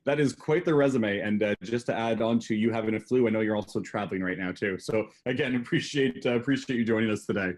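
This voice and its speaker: A man's voice: lowish voice, fast, few pauses. A man hosting a business webinar, introducing a guest and covering housekeeping in a friendly, loose way with many fillers. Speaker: webinar host. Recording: remote webinar audio.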